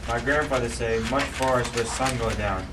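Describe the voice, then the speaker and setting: A man voicing a calm young native hunter who speaks in short, broken English, recorded from a distance with clear background noise. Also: mid-range voice, close to an everyday voice. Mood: solemn. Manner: broken clipped English, slow and solemn. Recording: distant mic, noisy amateur recording.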